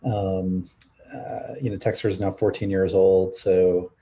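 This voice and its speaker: Low gravelly guy, dark mellow voice, chill panel intro. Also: fairly even intonation, measured pace. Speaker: co-founder and managing partner. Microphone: video call.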